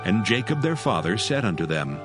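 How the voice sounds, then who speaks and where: Casting: male narrator for audio scripture, lowish and formal with lively melody, loud music bed. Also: brisk.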